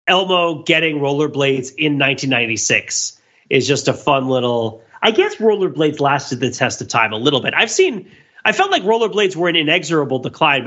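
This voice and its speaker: Animated, bright-voiced man in casual chat. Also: fairly high pitch, fairly quick, highs cut off. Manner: rambling, animated storyteller. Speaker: podcast host. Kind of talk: casual chat, humorous pop-culture commentary.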